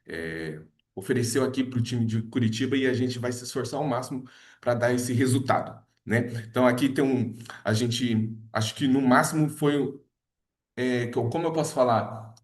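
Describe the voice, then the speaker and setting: A man's voice: mid-range voice, normal speed. A man leading a team meeting, introducing members and giving an informal report, sometimes searching for words. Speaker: team leader presenting members. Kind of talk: casual presentation to a group.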